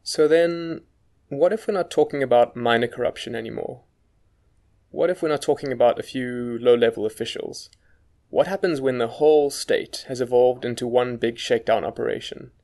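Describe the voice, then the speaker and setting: A man's voice: mid-range voice, moderate pace, darkish tone. Wry South African man narrating. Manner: conversational, wry. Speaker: guest podcast presenter. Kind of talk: first-person scripted narration.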